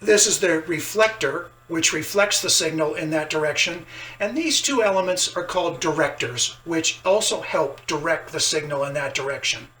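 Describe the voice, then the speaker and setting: Bright-voiced man explaining his hobby, roomy sound. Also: fairly high pitch, moderate pace. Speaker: hobbyist presenter. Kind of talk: explanatory hobby talk to camera. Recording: roomy, distant mic, faint background noise.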